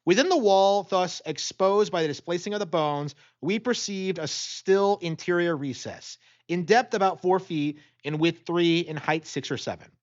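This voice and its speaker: A man's voice: sits high, moderate pace, few pauses, dull audio. A man reading a gothic story as a dramatic audiobook narrator.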